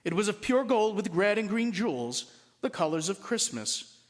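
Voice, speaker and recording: A priest, a man, reading a story aloud with expressive, deliberate storybook narration. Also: high-pitched. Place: large church at a Mass. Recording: long reverberant hall.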